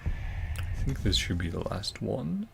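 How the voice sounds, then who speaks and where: A man's voice: fairly low pitch, sing-song, slow, creaky, slight background noise. A man giving casual, off-the-cuff gameplay commentary, often muttering half to himself as he plays. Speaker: gaming video creator.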